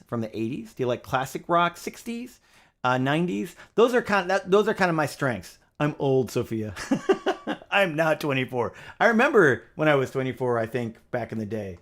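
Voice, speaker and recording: A man's voice: medium pitch, fairly bright tone. A middle-aged man hosting a casual music livestream, chatty and upbeat, with lots of fillers. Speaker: livestream pianist host.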